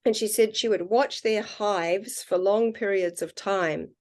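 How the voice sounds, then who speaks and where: A woman with a New Zealand accent talks as a podcast guest in an easy, conversational way. She sounds earnest and reflective, and she slows down on the points that matter to her. Her voice sits at an average pitch. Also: darkish tone. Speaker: podcast guest, water researcher. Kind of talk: casual conversational interview.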